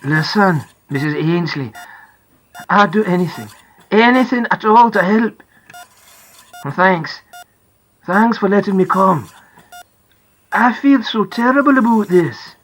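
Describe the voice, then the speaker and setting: Woman voicing a husky, remorseful Scottish man. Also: mid-range voice, expressive, slow, long pauses, low-quality recording. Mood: wobbly, ashamed. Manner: wobbly, pleading, earnest. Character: remorseful Scottish man, a father, upset and apologetic. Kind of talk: audiobook character acting.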